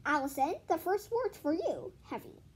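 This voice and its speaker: A female reader voicing a brisk, fussy, cheerfully oblivious woman schoolteacher with a theatrical singsong delivery. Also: very high voice, expressive, pitched above the performer's own voice.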